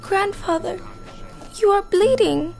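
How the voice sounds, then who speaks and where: Innocent little girl, high and gentle, worried manner, radio play over faint music. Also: slow pace, gravelly, full character voice. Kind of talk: radio drama acting.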